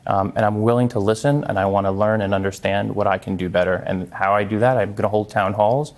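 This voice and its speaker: Low-pitched man, earnest interview guest. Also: steady tone, quick pace. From Long Island, New York. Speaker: interview guest, congressional candidate and former air traffic controller. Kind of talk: live television news interview.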